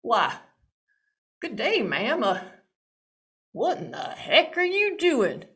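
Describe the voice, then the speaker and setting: A woman's voice: fairly high pitch, wildly swooping pitch, takes it slowly, a bit bright, exaggerated voice. A woman voicing a young male suitor who talks in a folksy drawl full of exasperated exclamations. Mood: puzzled. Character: exasperated young man, a plain-talking suitor.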